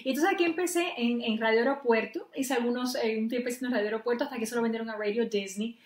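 Woman from Puerto Rico, radio host, chatty, fairly high voice, echoey room. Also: quick pace. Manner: warm, chatty, laughs often. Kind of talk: interview answers.

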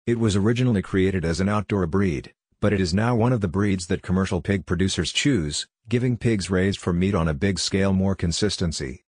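A man narrating a scripted farming guide in a steady, even reading voice. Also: deep voice, brisk, few pauses, husky, no echo, clean studio sound.

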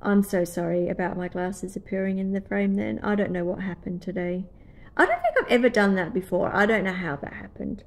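A woman with an Australian accent talks through her nail work in a relaxed, explanatory way. She sounds a little nasal and stuffy from a cold. Her pitch sits in the middle, and she adds friendly, apologetic asides as she goes. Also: a little fast. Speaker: nail tutorial host. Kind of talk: voice-over nail tutorial.

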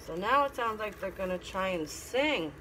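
A middle-aged woman reads a picture book aloud as a teacher, pausing to explain and ask simple questions. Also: mid-range voice, lively intonation. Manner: instructive, asking simple questions. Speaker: teacher reading to children. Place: classroom. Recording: clear background noise.

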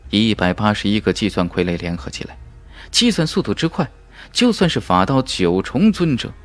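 A man reading a fantasy novel as an audiobook narrator, in a dramatic, measured and emphatic storytelling manner. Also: lowish voice.

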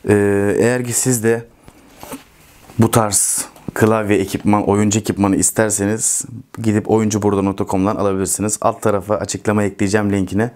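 A man's voice: fairly low pitch, flat delivery, fairly quick, a little mellow. A man, hosting a video in a casual chat to camera from a room at home. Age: 20s. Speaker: host of a video channel. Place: small furnished room at home.

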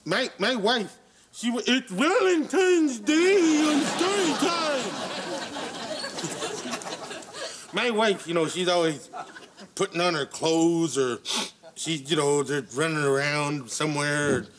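A man's voice: sits high, animated, measured pace, a bit bright. A man playing a bumbling, tipsy elderly children's storytime host in a comedy sketch, stammering and losing his thread. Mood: distracted, muttering. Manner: rambling, stammering, loses his thread, trails off. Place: living-room studio set with audience. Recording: noisy TV studio, audience behind.

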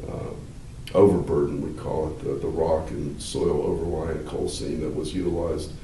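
Older male professor, mellow dark tone, halting with fillers, slightly distant mic, faint hiss. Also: extremely low pitch, flat delivery, roomy sound. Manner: measured, halting, many fillers and restarts; gestures with hands while talking. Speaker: law professor. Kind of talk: explanatory interview answers. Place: wood-paneled interview room, seated indoors.

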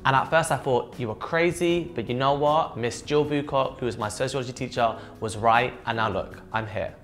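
A man's voice: mid-range voice, normal speed, fairly bright tone. Young man, London accent, student interview, music underneath. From East London, England. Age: early 20s. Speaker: university student. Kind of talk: informal interview to camera. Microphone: lapel mic. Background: background music.

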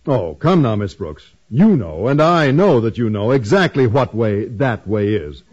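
An actor playing an earnest, bashful, easily wounded American schoolteacher with a stiff, proper manner in a 1950s radio comedy. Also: male voice, medium pitch, very animated, unhurried, darkish tone, slightly rough. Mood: puzzled, suspicious. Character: earnest, jealous, self-effacing bashful male schoolteacher. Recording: dull band-limited 1950s radio recording.

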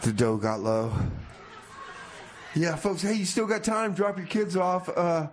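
A man playing an exasperated community radio host in a comedy radio show, halting and flustered, in a noisy live studio. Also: high-pitched, expressive, crowd noise. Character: exasperated, harried male community radio host running a daycare. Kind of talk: music show banter and improvised comedy radio theater.

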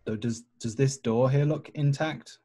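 A man with a husky, unclear-edged voice talks casually in an online tabletop game. His pitch is average, and he speaks as a player putting questions to the group. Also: measured pace, warm tone. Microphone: group video call.

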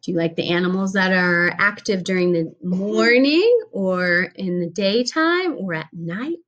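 A woman in her forties reads a picture book aloud to a small child, patient and teacherly, pausing to ask questions. Her voice sits in an ordinary middle range. It is picked up by a webcam across the table in a home kitchen. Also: mid-range voice, lively intonation, fairly bright tone, lo-fi sound. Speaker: speech teacher reading aloud. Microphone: webcam at table distance.

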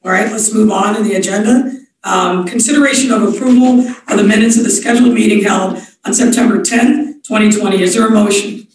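A woman's voice: mid-range voice, a little fast, darkish tone. Woman chairing a meeting, echoey and distant. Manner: procedural, with frequent fillers. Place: large wood-panelled office. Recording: echoey room from far off.

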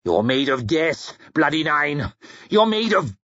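A man's voice: a little high, lively intonation, a little slow, a bit bright, dry recording, dull audio. A man voicing a mocking, bitter defeated warlord in an audiobook, taunting and scornful. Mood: bitter contempt.

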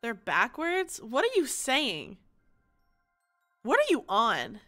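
Young woman streamer chatting at her desk, stuffy nose, super bright voice, bouncy pitch, faint music behind. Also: wildly swooping pitch, slow pace. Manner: loud, bursts into screaming. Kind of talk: casual livestream chat.

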